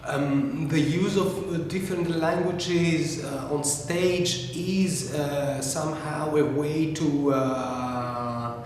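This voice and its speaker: Halting non-native man in an echoey hall. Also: on the high side, fairly even intonation, a little mellow, a little hiss or hum. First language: not English. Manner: thoughtful, halting with many fillers and repeats. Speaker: theater maker. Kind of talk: panel discussion. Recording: echoey hall, far from mic.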